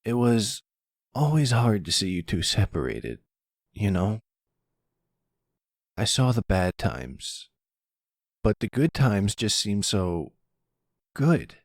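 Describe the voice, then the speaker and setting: A sensitive young man of college age as a male actor plays him, with a husky edge and a very lively pitch. He talks haltingly and defensively, close and dry, in an audio drama style. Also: no echo, exaggerated voice. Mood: sad, vulnerable. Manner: halting, stammering, higher and more boyish. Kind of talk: ASMR audio roleplay acting.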